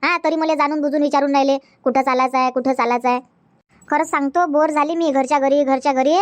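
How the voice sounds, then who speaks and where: Woman voicing a young newlywed cartoon wife, high and rapid, nagging, repeats herself. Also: steady tone, no room sound, natural voice. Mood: pleading. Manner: repetitive, nagging, talks in a rush. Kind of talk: Marathi comedy cartoon dub.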